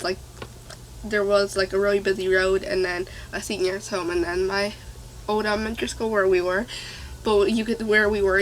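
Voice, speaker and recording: A young woman with a stuffy nose telling a scary story to her camera, rambling and excitable with lots of fillers. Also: mid-range voice, a little hiss or hum, low-quality recording. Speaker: vlogger. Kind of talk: casual storytime.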